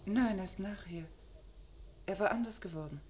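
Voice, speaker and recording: A woman's voice: medium pitch, normal speed, a little mellow, close to an everyday voice. An actress playing a wistful, loyal, guarded unmarried woman over forty in a mid-century radio crime drama, speaking with restraint. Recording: noisy old radio drama recording.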